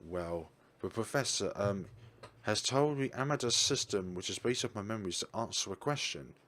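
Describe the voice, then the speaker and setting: A man voicing a young woman researcher who explains things in a brisk, blunt way. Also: fairly low pitch, expressive, fairly bright tone. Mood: explaining.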